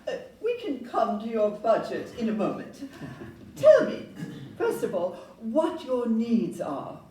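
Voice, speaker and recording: A woman's voice: on the high side, huge pitch swings, takes it slowly, dark tone, exaggerated voice. A woman acting a polite, businesslike young Victorian house agent in a live audio play. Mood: businesslike, curious. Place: live performance in a club room. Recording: distant roomy live recording, faint noise.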